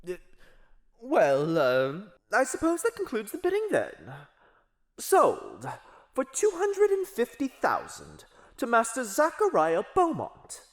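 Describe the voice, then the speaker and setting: A flustered auctioneer, played by a woman, proclaims in a high, extremely animated voice. The delivery is slow and ceremonious, in theatrical audio drama style. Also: full character voice. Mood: flustered, proclaiming. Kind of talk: audio roleplay acting.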